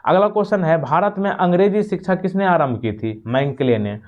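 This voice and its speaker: A man's voice: fairly high pitch, brisk, darkish tone. A man teaching an exam-prep quiz, reading out questions, giving answers and repeating key points instructively. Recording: dull, muffled lo-fi microphone.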